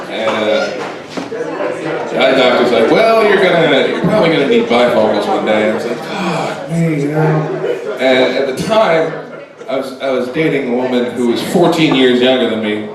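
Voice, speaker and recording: A man's voice: medium pitch, animated, normal speed, crowd noise. A man in his forties doing a rambling, self-deprecating stand-up comedy set. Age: 40s. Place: comedy club stage with audience. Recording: echoey club room from a distance, audience laughter.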